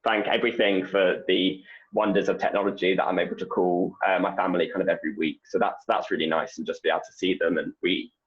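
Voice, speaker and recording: Young Englishman, low-voiced, loose chatty answers full of ums over a dull video call line. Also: quick pace. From England. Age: young adult. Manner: relaxed, rambling with many fillers and restarts. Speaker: postdoc researcher guest. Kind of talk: casual interview answers.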